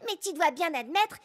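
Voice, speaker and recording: A woman voicing a sweet, bubbly young girl microbe in an animated cartoon, childlike, gushing and cheerful. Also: very high voice, very noisy, full character voice. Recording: loud music under the voice.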